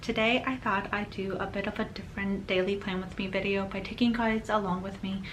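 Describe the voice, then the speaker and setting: A woman's voice: mid-range voice, steady tone, fairly quick, slight background noise. Chatty thirties woman, voice worn by a cold. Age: 30s. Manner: chatty and rambling, with frequent "um" and "like". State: slightly off voice from a cold. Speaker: planner vlogger. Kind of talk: casual voice-over narration.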